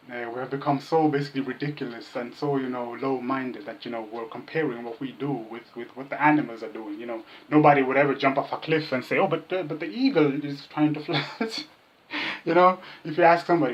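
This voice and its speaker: A man's voice: on the high side, a little hiss or hum. A man from Kenya talking casually as an interview guest, repeating himself and jokingly mimicking the people he argues with. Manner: jokey, repetitive, mimics opponents' remarks. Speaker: vegan activist, interview guest. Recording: dull, muffled lo-fi sound.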